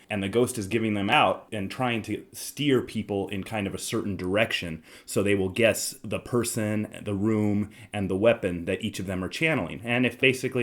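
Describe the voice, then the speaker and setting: A man with a fairly low voice hosts a casual podcast discussion. He explains things in a conversational way, as if walking friends through a board game. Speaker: podcast host.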